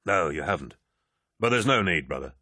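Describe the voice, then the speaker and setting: A man plays a grounded, steadfast captain in a science fiction audiobook. He speaks low and plainly, holding himself in check. The recording is dry and close. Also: expressive, moderate pace, pushed and bright, dry recording, full character voice. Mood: quietly reassuring. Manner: restrained, low-key, plain-spoken.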